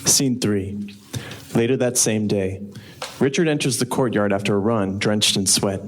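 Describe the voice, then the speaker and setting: A man's voice: fairly low pitch, a little slow, lightly acted. A man narrating a live radio play, reading scripted stage directions. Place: on stage in a live theatre performance. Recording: noisy live stage ambience.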